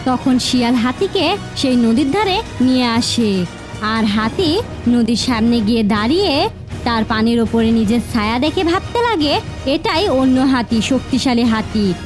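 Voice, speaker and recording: A woman narrating a scripted fairy tale as an expressive storyteller. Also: fairly high pitch, unhurried, over music.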